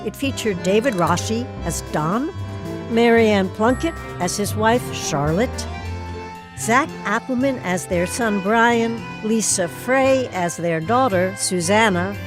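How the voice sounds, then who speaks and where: A woman's voice: mid-range voice, lively intonation, slow pace, music in the background. A woman hosting an audio theatre show, reading scripted credits and putting interview questions. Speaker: host.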